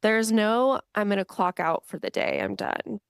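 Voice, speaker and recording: A woman guest on a podcast, talking in a chatty, animated way with lots of "like". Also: mid-range voice, moderate pace, creaky, clean tone, no room sound, clean studio sound. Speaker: podcast guest, business owner. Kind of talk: casual podcast conversation.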